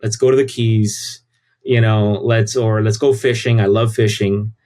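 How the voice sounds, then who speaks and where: A man's voice: fairly low pitch, fairly even intonation, measured pace, dark tone. A man, talking casually and informally as an interview guest, often repeating phrases for emphasis. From United States. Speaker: interview guest, tech company CEO.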